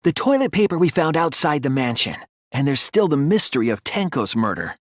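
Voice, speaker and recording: Casting: a thoughtful teen boy sleuth for a game dub; earnest, deliberate, slightly breathy, very dry close sound. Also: on the high side, very animated, no echo. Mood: reasoning, earnest. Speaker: video game voice actor. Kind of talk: English video game dub, dramatic deduction dialogue.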